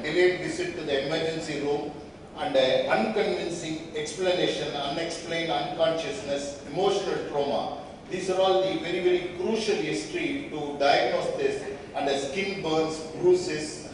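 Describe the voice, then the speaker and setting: An older man with an Indian accent gives a medical slide lecture, listing points in short, halting phrases. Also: a little high. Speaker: radiologist giving a medical lecture. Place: indoor meeting hall at a podium. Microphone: distant camera microphone. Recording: echoey hall from far off, noisy.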